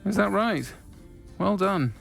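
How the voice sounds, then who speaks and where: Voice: mid-range voice, expressive, slow, vocal fry, fairly bright tone, faint music behind, heavily acted. A performer playing a British pub landlady in a video game, welcoming but tough, plain-spoken and firm.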